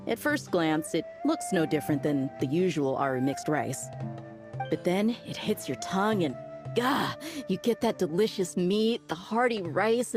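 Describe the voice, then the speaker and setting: A woman's voice: lowish voice, natural voice. A woman playing a casual, chatty, friendly desert mercenary in a video game, with music behind her. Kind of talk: video game character acting.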